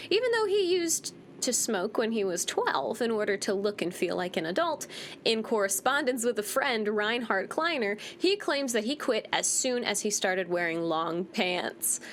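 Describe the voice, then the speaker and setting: A woman giving casual, expressive playthrough commentary as a gaming host, playfully reacting aloud. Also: mid-range voice, slight background noise.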